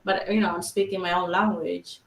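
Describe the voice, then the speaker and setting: A woman's voice: on the low side, fairly even intonation, fairly quick, echoey room, far from the mic, a little hiss or hum, low-quality recording. A woman from the Philippines with a Filipino accent, chatting casually in loose, broken English. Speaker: guest in a group chat. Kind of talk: casual chat.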